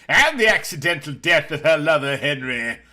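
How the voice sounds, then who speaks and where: A man's voice: fairly high pitch, very animated, normal speed, bright, forceful tone. A man reading a story aloud as narrator, in a dramatic scripted storytelling style. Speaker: narrator reading a novel chapter.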